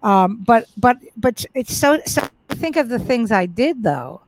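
A middle-aged woman comedian with a fairly high voice tells her stories frankly and with plenty of swearing. She trips over her words and restarts them as she goes. The sound is close and dry. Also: animated, measured pace, no room sound. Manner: frank, profane, many restarts. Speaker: comedian guest. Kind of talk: casual interview storytelling.

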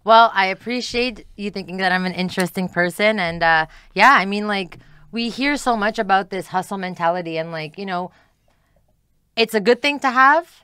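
A woman's voice: mid-range voice, normal speed, sharp tone, smooth, no room sound, clean studio sound. Chatty young woman from Montreal. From Montreal, Canada. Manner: chatty, frequent "like" and "you know", easy laughs. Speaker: podcast guest. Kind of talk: casual interview chat.

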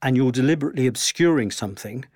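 Measured man, dry close sound. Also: mid-range voice, animated, a little mellow, no room sound. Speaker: historian guest. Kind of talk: thoughtful interview answers.

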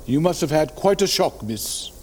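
An actor in an audio drama playing a formal, considerate gentleman lawyer who speaks politely. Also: a little high, expressive, normal speed, slight background noise, lightly acted. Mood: polite, concerned.

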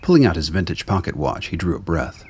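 Very deep, husky male narrator. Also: sing-song, fast talker, darkish tone. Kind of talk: scripted radio drama narration.

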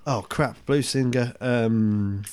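A man's voice: medium pitch, slow, a little mellow, smooth. Hesitant man in casual podcast chat. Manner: hesitant, searching for words. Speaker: podcast co-host.

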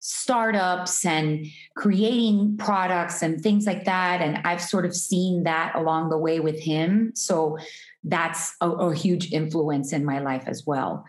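A woman's voice: on the low side, slow. A woman from Miami, a guest in an interview, chatting about her life with frequent ums, restarts and easy laughter. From Miami, USA. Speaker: guest, artist and entrepreneur. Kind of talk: casual interview conversation. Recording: roomy echoey sound.

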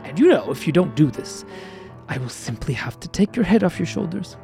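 A woman voicing a stern king of an underwater realm who speaks slowly and menacingly, sometimes dropping to a whisper. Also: a little high, very animated, gravelly, warm tone, faint music behind.

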